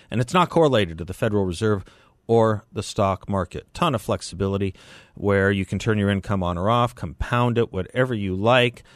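Low-voiced American male radio host. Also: no echo, studio quality. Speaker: radio talk show host. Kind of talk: live talk radio chat and host-read ads.

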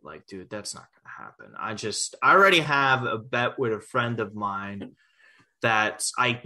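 A man's voice: mid-range voice, bright, forceful tone. A man in his twenties hosting a casual sports podcast, talking loosely with frequent restarts. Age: 20s. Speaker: podcast host.

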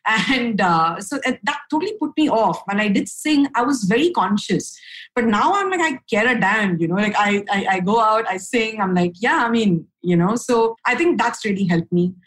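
A young adult woman from India, a podcast guest speaking frankly and upbeat, with a low voice for a woman and casual fillers. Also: lively intonation, normal speed, fairly bright tone, poor audio. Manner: frank, upbeat, lots of fillers and "right?" tags. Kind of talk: candid conversational storytelling.

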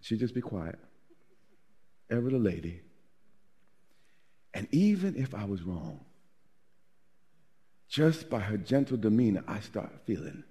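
A man preaching a sermon, with a naturally loud voice, swinging from loud to hushed. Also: fairly low pitch, big pitch swings, measured pace, long pauses, slightly rough. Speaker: pastor.

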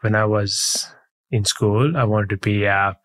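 A man from Sri Lanka telling his career story in a relaxed, matter-of-fact way as an interview guest. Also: fairly low pitch, measured pace. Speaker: guest, head of data. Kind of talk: casual interview chat.

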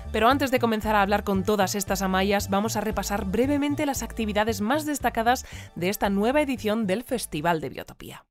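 A woman playing a composed news anchor in a sci-fi audio drama, reading a scripted bulletin in a formal, measured way. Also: mid-range voice, lightly acted. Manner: formal, measured announcer delivery. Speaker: newscast presenter. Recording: music bed under the voice. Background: music.

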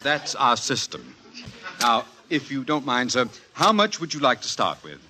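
A man's voice: mid-range voice, sing-song, normal speed, lightly acted. Officious American bank clerk acted by a man, very noisy. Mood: strained patience. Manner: formal, businesslike. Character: polite, officious, easily flustered male bank clerk. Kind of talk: 1940s radio comedy acting. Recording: very noisy, clicking old radio recording.

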